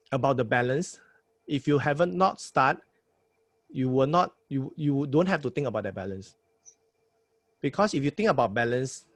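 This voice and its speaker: A man's voice: mid-range voice, dry recording. A man from Singapore with a Singaporean English accent, giving casual advice as a podcast host and punching points with repeated "right?" tags. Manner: emphatic, repeats words, tag questions like "right?". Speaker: podcast host advising a new host.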